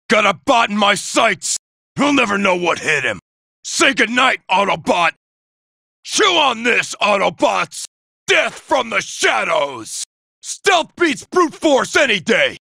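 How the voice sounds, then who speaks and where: Cocky robot sniper from a video game, really high pitched for a man, gravelly, yelling taunts. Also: dry recording, clean studio sound, full character voice. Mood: menacing, focused. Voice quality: gravelly, forceful. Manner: shouted, taunting, menacing. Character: cocky, aggressive male robot soldier, a taunting rocket sniper. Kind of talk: video game combat barks.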